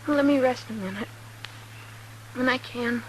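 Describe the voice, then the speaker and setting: A woman's voice: on the high side, a little slow, close to an everyday voice. An actress playing a weak, weary woman of the old West in 1950s radio drama style. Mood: weary, bitter. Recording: noisy vintage 1950s radio recording.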